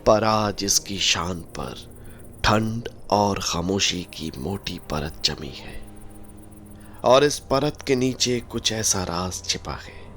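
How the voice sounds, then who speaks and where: A man giving dramatic scripted narration for an audio drama, suspenseful, with phrases that sink into a breathy hush. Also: fairly low pitch, expressive, slow, slight background noise.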